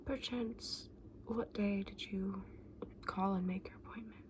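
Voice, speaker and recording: A woman playing a kindly, eccentric fantasy shopkeeper in an ASMR roleplay, whispering softly and breathily with gentle, polite, quaint phrasing. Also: medium pitch, fairly even intonation, unhurried, natural voice. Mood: welcoming, curious. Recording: clearly audible background noise.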